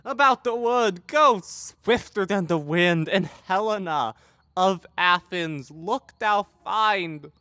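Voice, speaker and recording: A woman playing a scheming, commanding fairy monarch in a Shakespeare audio drama, speaking verse imperiously and deliberately. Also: mid-range voice, expressive, sharp tone, no echo, clean studio sound. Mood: stern, commanding.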